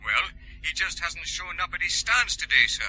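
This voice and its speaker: An actor playing an eager, deferential Scottish police sergeant in a radio drama, with a raspy voice. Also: male voice, high voice, very animated, normal speed, poor audio. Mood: eager, reporting.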